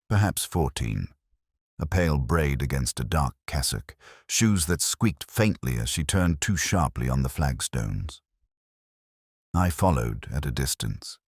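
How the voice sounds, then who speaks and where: A man plays a world-weary middle-aged detective telling his own mystery story. His voice sits extremely low yet moves expressively through each phrase. The delivery is reflective and literary, recorded close and dry. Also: breathy edge, no echo, full character voice. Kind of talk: scripted first-person mystery narration.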